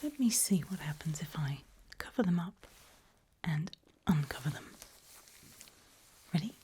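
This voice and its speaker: A woman whispering gently and reassuringly to a patient in an ASMR medical roleplay, close to the microphone. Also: low-pitched, animated, a little slow, slight background noise. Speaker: ASMR performer playing a back-alley eye surgeon.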